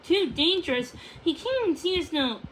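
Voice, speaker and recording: A woman voicing an excitable, bossy male puffin in a children's storybook, urgent and insistent. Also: very high voice, normal speed, slight background noise, pitched above the performer's own voice, low-quality recording. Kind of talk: children's storybook read-aloud.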